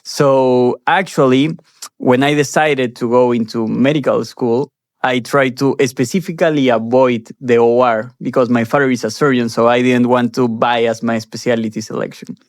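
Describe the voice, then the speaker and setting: A young man from Peru speaks English in an earnest, measured way, now and then restarting a phrase. His pitch sits in the middle of the male range. The sound is dry and close. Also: fairly even intonation, dry recording. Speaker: guest, surgery resident. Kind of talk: interview answers.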